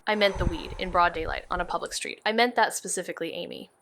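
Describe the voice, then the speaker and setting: An actress playing a cautious, skeptical young woman sleuth in an audio drama, with a dry, deadpan, exasperated manner and some swearing. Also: lowish voice, quick pace, a little hiss or hum. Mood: dry, disapproving. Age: young adult. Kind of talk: naturalistic audio drama banter.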